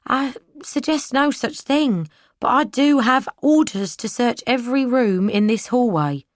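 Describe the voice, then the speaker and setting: A timid young soldier in a storybook reading hesitates and stammers through his orders, his voice pitched high for a boy, as a woman narrator puts him on. The sound is dry and close. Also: expressive, a little slow, dry recording. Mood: nervous. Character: young timid male soldier, nervous and dutiful.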